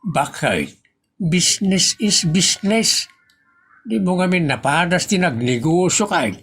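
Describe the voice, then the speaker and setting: An actor with an Ilocano accent playing a reasonable, teasing husband in a comedy audio drama. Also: male voice, sits high, animated, a little slow, a bit bright, husky, heavily acted. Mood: chiding.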